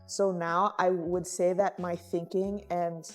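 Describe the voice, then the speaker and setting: A woman's voice: lowish voice, flat delivery, slow, faint music behind. Woman with a mixed Vietnamese-American accent. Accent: mixed Vietnamese-American English. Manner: reflective, thinks aloud with fillers. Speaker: guest friend. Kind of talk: casual conversation.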